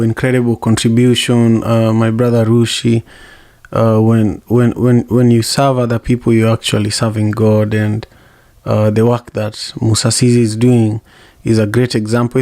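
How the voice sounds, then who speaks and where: A man hosting an online discussion, thanking guests and inviting each speaker in turn, halting and repeating words with many uhs. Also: mid-range voice, little pitch movement, warm tone, no room sound, studio quality. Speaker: host.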